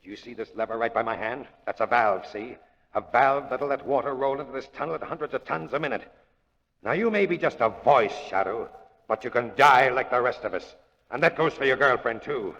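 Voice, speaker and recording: A cruel, gloating gangster with a husky edge to his voice. He taunts in tough-guy fashion, in the manner of 1930s radio crime drama, inside a long hollow reverb. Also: medium pitch, brisk, a bit bright, highs cut off. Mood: threatening. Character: sneering gangster villain, cruel, gloating, cornered. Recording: old radio, husky echoing tunnel reverb.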